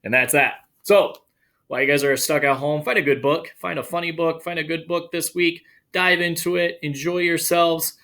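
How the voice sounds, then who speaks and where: A man who works as an elementary school educator, reading a picture book aloud to children in an expressive, playful way with casual asides. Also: a little high, moderate pace.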